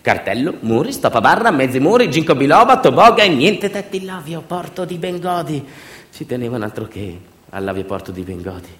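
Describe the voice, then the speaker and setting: A man's voice: a little high, lively intonation, brisk, fairly bright tone. A man performing a theatrical narrative monologue as a stage storyteller, in a colloquial, emphatic manner that leans on repeated phrases. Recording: roomy echo, faint music. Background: faint music.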